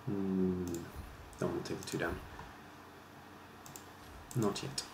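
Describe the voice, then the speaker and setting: Brief: deep-voiced man, faint Welsh lilt, low-key game commentary, roomy recording with light hiss. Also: level tone, creaky. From Welsh border region. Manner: thinking aloud, measured and low-key. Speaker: streamer and league organizer. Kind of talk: casual live commentary while playing.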